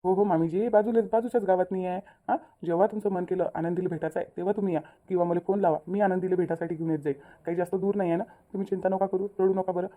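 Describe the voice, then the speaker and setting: A woman's voice: fairly low pitch, steady tone, racing, few pauses, narrow, tinny audio, natural voice. A woman voicing an earnest young bridegroom in a cartoon story, soothing and repetitive, with a Vidarbha Marathi accent. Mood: reassuring. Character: earnest, reassuring young bridegroom, polite son-in-law. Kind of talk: amateur cartoon story dialogue.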